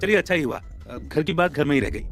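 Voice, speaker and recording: A man voicing a smooth-talking young husband who is eager to placate, in an audio story. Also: a little high, expressive, a bit bright, faint music behind. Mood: hasty, explaining. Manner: quick-thinking, placating.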